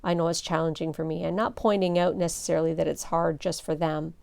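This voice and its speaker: A middle-aged woman pastor teaching a seminar in a soft, low voice, gentle and earnest, with measured pauses. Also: flat delivery, creaky.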